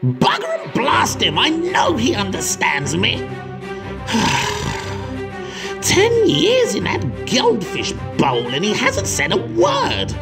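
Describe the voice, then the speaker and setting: A man's voice: very high voice, huge pitch swings, slow, vocal fry, music in the background, full character voice. A man playing an imperious, scornful and smug old cult leader in a theatrical comic-book drama. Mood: frustrated, scornful.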